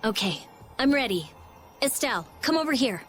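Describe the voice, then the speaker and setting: A woman voicing a brusque, sharp-tongued teenage girl mage and researcher in an anime-style game. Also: fairly high pitch, sing-song, normal speed, soft background music. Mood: focused, explaining.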